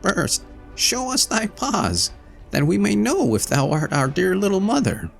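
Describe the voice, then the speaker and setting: A man voicing seven timid little goat kids in a read-aloud storybook, with a high childlike pleading voice. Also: big pitch swings, unhurried, creaky, soft background music, exaggerated voice.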